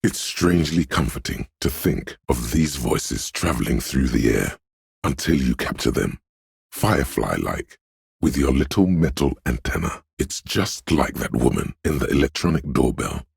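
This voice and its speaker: A man playing a detective's ominous, dreamy intuition in a video game, speaking in a hushed, portentous, theatrical way with dramatic pauses and a gravelly, husky voice. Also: a bass voice, lively intonation, no room sound, studio quality, full character voice. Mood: brooding, mysterious. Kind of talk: video game voice acting, second-person inner monologue.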